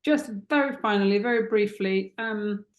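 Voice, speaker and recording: A woman's voice: mid-range voice, normal speed, roomy sound. A woman hosting a podcast interview, asking questions with some hesitation and reading a closing outro.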